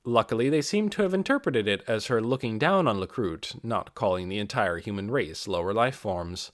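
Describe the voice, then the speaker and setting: A man's voice: lowish voice, expressive, moderate pace, no echo, clean studio sound. A man reading scripted fantasy narration as an audiobook narrator.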